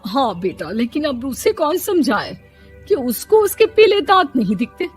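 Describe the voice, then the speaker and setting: A woman's voice: high-pitched, big pitch swings, measured pace, soft background music, voice pushed up. A woman voicing a worried, frustrated middle-aged mother in a moral story. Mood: troubled, frustrated. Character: worried, embarrassed mother complaining about her daughter.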